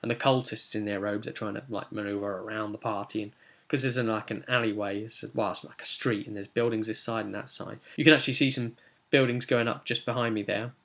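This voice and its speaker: A man's voice: fairly low pitch, fairly even intonation, brisk, fairly bright tone. A man giving a casual, rambling recap of a tabletop role-playing session in an informal way, as the game master.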